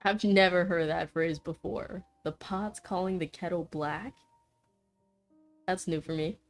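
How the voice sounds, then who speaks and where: A woman's voice: fairly low pitch, fairly even intonation, a little slow, soft background music. A woman streamer doing a casual playthrough, reading game dialogue and reacting to it.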